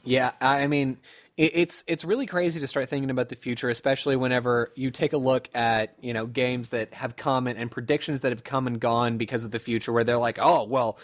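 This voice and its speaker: A man co-hosting a casual gaming podcast, speculating excitedly and rambling with lots of fillers. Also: medium pitch, fairly quick. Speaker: podcast co-host.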